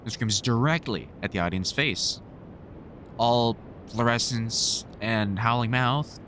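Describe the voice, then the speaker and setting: A man's voice: mid-range voice, takes it slowly, a bit bright, a little hiss or hum. A man playing a sardonic, protective vampire hunter in a roleplay audio drama, sweary and teasing like an exasperated but fond mentor. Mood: wry reminiscing.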